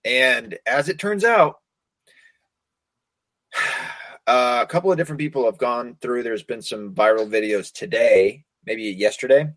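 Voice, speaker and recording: A man's voice: medium pitch, a little slow, pauses often, a bit bright, no echo. A man from the United States hosting a casual talk show, ranting sarcastically, swearing constantly and stumbling over hard words. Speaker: podcast host. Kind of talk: casual profane rant.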